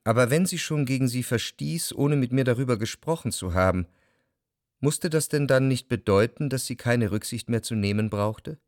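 A male actor plays a jealous, brooding lover in a literary radio drama. His voice sits at a middle pitch in a very dry studio sound. He speaks in measured, introspective phrases, as if examining every thought. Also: expressive, no echo, close to an everyday voice. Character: anxious, jealous, brooding lover recalling his life as narrator. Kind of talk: literary radio drama narration with quiet dialogue.

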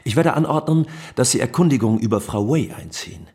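An actor playing a dutiful, obliging military officer in a radio drama, brisk and polite in manner. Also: male voice, medium pitch, expressive, a little mellow, a little hiss or hum, lightly acted.

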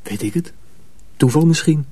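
A troubled young miller's apprentice in a radio play. His voice is dark and husky, recorded dry. He sounds guarded and questioning. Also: a little high, lively intonation, moderate pace, dry recording. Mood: puzzled. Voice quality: soft, husky. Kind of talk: radio drama acting.

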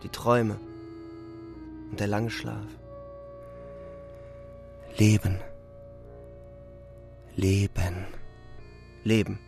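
Grave, gravelly young soldier. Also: male voice, on the low side, steady tone, darkish tone, faint music behind, full character voice. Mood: weary, reflective. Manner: grave, deliberate, declamatory. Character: twenty-year-old German soldier, weary, despairing, reflective. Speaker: narrator. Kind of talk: literary radio play monologue.

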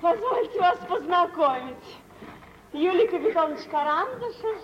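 A woman's voice: very high-pitched, animated, moderate pace. An actress playing a sociable middle-aged society mother and fussy hostess in an old Russian radio drama, gushing and coaxing. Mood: gushing, amused. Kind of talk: mid-20th-century Russian radio theatre acting. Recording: muffled, hissy old radio drama recording.

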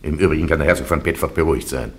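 A very deep-voiced, imperious bishop. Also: male voice, racing. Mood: stern. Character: bishop presiding as judge, authoritative, unbending. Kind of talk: German radio play acting.

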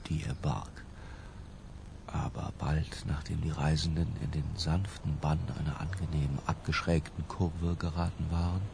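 An actor playing an obsessed, cultured middle-aged narrator in a literary radio drama, lyrical and intimate. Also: male voice, a bass voice, creaky, noisy background, full character voice. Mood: brooding, lyrical.

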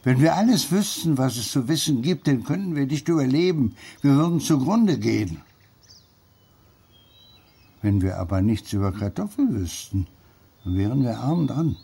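A man's voice: medium pitch, sing-song, normal speed, exaggerated voice. An actor playing a wise, earthy old grandfather in a radio play, musing and instructing. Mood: philosophical. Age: older. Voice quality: gravelly, mellow.

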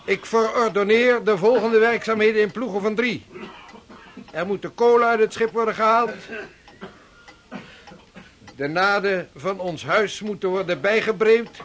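Severe high-voiced ship's officer. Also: male voice, a little slow, pauses often, fairly bright tone, a little hiss or hum. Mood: formal, stern. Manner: formal, declamatory, authoritative. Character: severe, formal ship's officer and expedition leader. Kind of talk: radio drama acting.

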